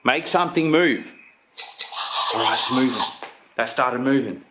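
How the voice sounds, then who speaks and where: A man's voice: mid-range voice, measured pace. A man in his thirties narrating a ghost-hunting vlog on the spot and calling out to spirits as a paranormal investigator. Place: inside an empty, derelict house. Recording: noisy handheld camera audio with clicks.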